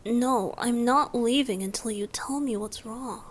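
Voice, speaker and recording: A woman voicing a playful, tender young male bard god in a romantic ASMR roleplay, soft and breathy, often whispering and gently teasing. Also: on the high side, measured pace. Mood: alarmed, confused.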